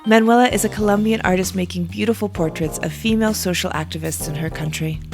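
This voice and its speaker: A woman's voice: lowish voice, fast, creaky, darkish tone, faint music behind. A woman hosting a podcast, reading a scripted intro and then chatting with a guest in a friendly way. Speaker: podcast host.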